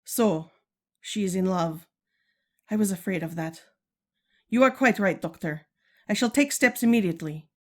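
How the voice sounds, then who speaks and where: A woman's voice: mid-range voice, moderate pace, pauses often, natural voice. A woman playing a regal, stern but caring Amazon queen and mother in a 1940s-style radio serial, formal and commanding. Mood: grave, resigned.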